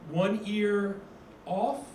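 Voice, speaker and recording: A man's voice: high-pitched, extremely slow, a little mellow, roomy sound, far from the mic, a little hiss or hum. A man hosting an interview, asking questions hesitantly with many fillers. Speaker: interview host.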